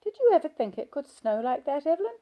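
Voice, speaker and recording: A woman's voice: sits high, very animated, fast talker, lo-fi sound, voice pushed up. A woman voicing a middle-aged hospital patient who talks in a whiny, childish, pleading way. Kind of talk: audiobook character voice.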